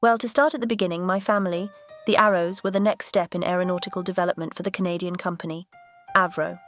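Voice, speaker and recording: A woman voicing a composed vintage aircraft character, telling her story in a measured, earnest cartoon dialogue style. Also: on the low side, fairly even intonation, soft background music, lightly acted. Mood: earnest recounting. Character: composed, bitter but grateful female vintage jet museum exhibit.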